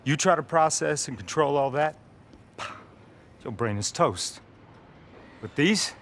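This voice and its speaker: A man's voice: a little high, lively intonation, slow, a bit bright, a little hiss or hum, exaggerated voice. A man playing a brash, foul-mouthed soldier mentor in a video game, talking in a slangy, brotherly, swaggering way. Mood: confident, commanding. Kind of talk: video game cutscene acting.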